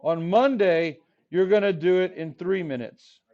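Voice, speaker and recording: A man in his forties from the Rio Grande Valley, teaching a high school math class in a playful, joking way, his voice a bit hoarse. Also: unhurried, darkish tone. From Texas Rio Grande Valley. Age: 40s. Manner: playful, jokey, talks to the class. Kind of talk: classroom lecture. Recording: dull lo-fi classroom recording.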